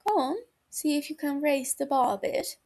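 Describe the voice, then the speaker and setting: A woman voicing a calm, concerned young man in an audiobook, speaking softly and carefully to placate a friend. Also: high voice, moderate pace, pitched above the performer's own voice. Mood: concerned, wry.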